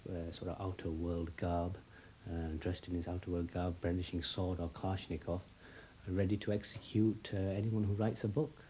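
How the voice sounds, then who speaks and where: A man's voice: low-pitched, mellow, slight background noise, rough recording. A man with a Yorkshire accent answering interview questions earnestly, with frequent hesitations. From West Yorkshire, England.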